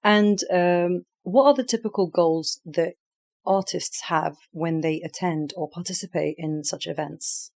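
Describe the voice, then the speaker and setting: A woman's voice: lowish voice, a little slow, vocal fry, hardly any pauses, a little mellow. A woman hosting a podcast who asks questions steadily and backs up answers with short affirmations. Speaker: podcast host. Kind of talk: hosted interview.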